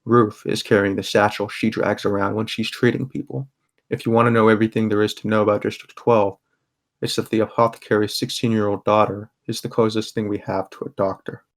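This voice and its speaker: A young man playing a guarded, sardonic teenage boy who narrates a grim audio drama in a subdued, even voice. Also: fairly low pitch, a little fast, close to an everyday voice. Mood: somber. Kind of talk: first-person scripted narration, audio drama.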